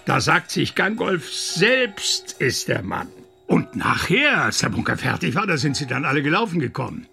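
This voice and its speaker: An actor playing a boastful, self-important engineer of ninety in a radio play. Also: male voice, on the high side, gravelly, sharp tone, heavily acted. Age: older. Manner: self-important, speaks of himself by surname. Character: boastful ninety-year-old engineer, proud bunker builder. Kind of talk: radio drama acting.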